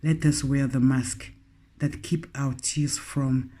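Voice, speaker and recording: A man from Congo, an artist and poet speaking slowly and emotionally about his experience. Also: mid-range voice, fairly even intonation, mellow, faint music behind. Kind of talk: reflective personal account with poem reading.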